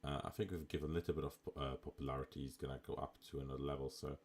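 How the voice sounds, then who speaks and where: A man with a raspy voice, chatting casually as a live streamer while he plays a management game. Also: a bass voice, rapid-fire. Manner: relaxed, chatty, with fillers. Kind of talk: casual live gameplay commentary.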